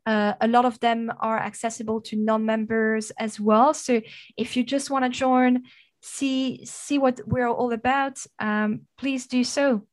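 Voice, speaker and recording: A woman from Belgium with a French accent, speaking as a guest in an interview, measured and with frequent fillers. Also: on the high side, little pitch movement. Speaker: guest, sustainability consultant. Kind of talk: conversational interview.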